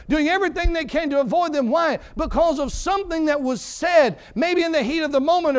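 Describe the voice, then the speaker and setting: High-voiced fervent preaching man. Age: 40s. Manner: fervent preaching with repeated phrases, swinging between quiet and loud. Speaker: pastor. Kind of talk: sermon.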